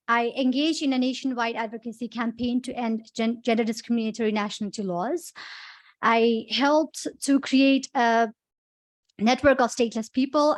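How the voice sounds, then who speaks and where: Young female activist, Nepali accent, slightly high pitch, earnest formal address. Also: no echo. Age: young adult. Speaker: activist and human rights lawyer. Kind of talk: prepared public speech. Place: conference podium.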